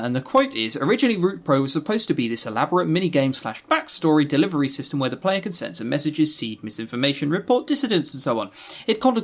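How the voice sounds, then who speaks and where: A man talks casually as a podcast co-host, his voice sitting a little higher than average. He is opinionated and leans hard on his points. His delivery rambles as he reviews and argues. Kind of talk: casual review commentary on visual novels.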